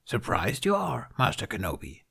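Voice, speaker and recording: A man's voice: medium pitch, huge pitch swings, moderate pace, creaky, a bit bright, husky, exaggerated voice. A man voicing an ancient, wise alien master who speaks in cryptic, inverted sentences. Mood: probing. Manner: inverted word order, cryptic phrasing.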